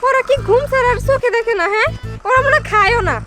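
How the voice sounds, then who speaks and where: A woman acting an exasperated young friend in a comic drama, with a very high voice. She nags and scolds in rhetorical questions while music plays over her. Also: fast talker. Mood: exasperated grumbling. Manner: nagging, full of rhetorical questions. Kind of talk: acted dialogue in a Bangla comic short drama.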